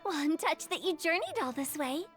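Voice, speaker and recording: A woman's voice: high voice, lively intonation, fairly quick. A woman playing a young, eccentric funeral director, theatrical and playful, in a video game cutscene. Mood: grandly welcoming. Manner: theatrical, mischievous, salesy. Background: game music.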